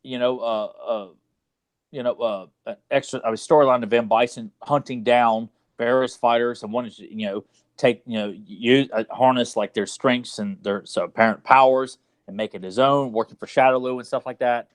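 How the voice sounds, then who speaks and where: A man's voice: mid-range voice, steady tone, moderate pace, no room sound. A man co-hosting a podcast, chatting casually in a blunt, opinionated and rambling way with some mild swearing. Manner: blunt, opinionated, mildly profane. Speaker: podcast co-host. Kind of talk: casual chat about video game movies.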